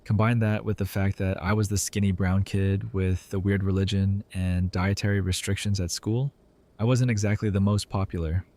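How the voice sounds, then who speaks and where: A man's voice: deep voice, level tone, moderate pace, a little mellow, lightly acted. A man playing a wry, earnest young doctor in an audio drama, with a casual, teasing delivery. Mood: sincere, reflective. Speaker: voice actor in a fan audio drama. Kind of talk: conversational audio drama acting.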